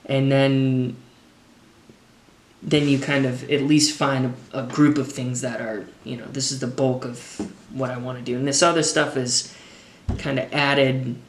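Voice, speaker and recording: An American man in his thirties talking casually and unscripted, thinking through his answers as a drummer with frequent halting pauses, recorded indoors at a table. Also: mid-range voice, flat delivery, slight background noise. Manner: halting, thoughtful, pausing to formulate answers. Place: indoors, open kitchen-dining room at a table. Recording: echoey room.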